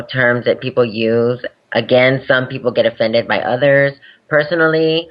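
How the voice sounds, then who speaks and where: A young adult woman speaking candidly as an interview guest, laughing easily as she talks. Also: extremely low pitch. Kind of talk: casual interview chat. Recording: dull lo-fi podcast audio.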